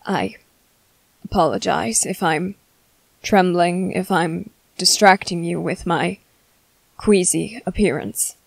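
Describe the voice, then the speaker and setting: A woman playing a courteous, restrained male vampire commander in an ASMR roleplay, speaking in formal, halting, hungry phrases. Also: lowish voice, creaky, breathy edge, no room sound, exaggerated voice. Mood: strained, pleading. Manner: formal, halting, measured, apologetic. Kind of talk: scripted ASMR roleplay monologue.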